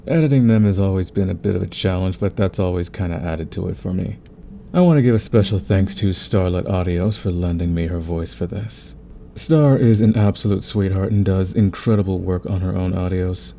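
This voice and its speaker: A man's voice: low voice, moderate pace, vocal fry, warm tone, slight background noise. A man hosting his audio channel, talking casually in an outro and thanking collaborators. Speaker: audio roleplay creator, host.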